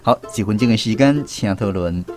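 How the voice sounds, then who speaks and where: A man's voice: on the low side, moderate pace, faint music behind. A man with a Taiwanese accent, hosting a language quiz segment on the radio. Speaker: radio show host.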